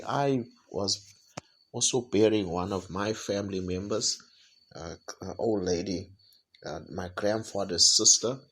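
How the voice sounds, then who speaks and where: South african guy, deep husky voice, stops and restarts a lot, talking through the week's news. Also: a bit bright. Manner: halting, many repeated words and fillers. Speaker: podcast host. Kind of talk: reflective weekly review monologue.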